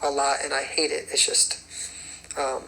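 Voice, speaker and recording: Raspy young man chatting on a livestream. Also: mid-range voice, monotone, normal speed, bright, forceful tone, low-quality recording. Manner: rambling with many fillers and laughs. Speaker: singer and choreographer. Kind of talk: casual livestream chat answering comments.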